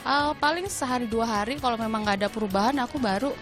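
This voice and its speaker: A woman answers casually and briefly as a member of the public being interviewed. Her voice is on the high side, with prominent music playing behind her. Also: fast talker. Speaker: street interviewee.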